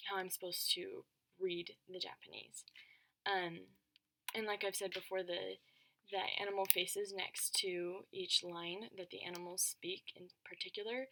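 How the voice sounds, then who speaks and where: A woman's voice: medium pitch, creaky, pushed and bright. A young woman giving a recorded class presentation, thoughtful and hesitant, with many ums and restarts. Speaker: education student.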